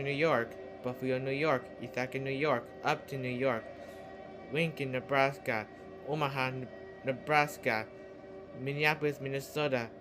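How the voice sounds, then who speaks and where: A man reading a scripted emergency broadcast announcement in a halting delivery, as an alert announcer. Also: mid-range voice. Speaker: emergency alert announcer in a fan-made scenario.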